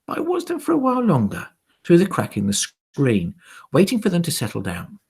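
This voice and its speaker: A man narrates a children's storybook with great theatrical flair. His pitch leaps and dives constantly, and his husky voice drops into hushed tones to build suspense. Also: huge pitch swings, a little fast. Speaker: teacher reading a story aloud.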